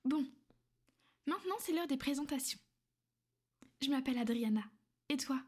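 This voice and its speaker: Woman playing a soft-spoken vampire noblewoman. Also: sits high, normal speed. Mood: whispered, caring. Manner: soft, soothing, often whispered. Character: gentle, possessive female vampire noblewoman. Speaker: ASMR roleplay performer. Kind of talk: ASMR roleplay.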